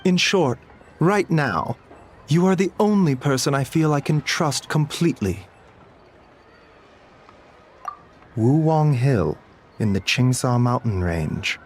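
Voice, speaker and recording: A man voicing a polite, earnest physician in a video game, speaking in a measured, formal and courteous way. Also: mid-range voice, lively intonation, slight background noise, close to an everyday voice. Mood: confiding. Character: polite, earnest male physician with grave concern.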